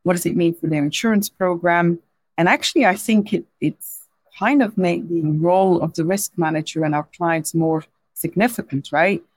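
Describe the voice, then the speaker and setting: A woman's voice: lowish voice, normal speed, darkish tone, no room sound, poor audio. A woman in her forties from Belgium with a Belgian accent, not a native English speaker, answering interview questions in a thoughtful way, often restarting and adding "right?". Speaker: podcast guest, insurance executive.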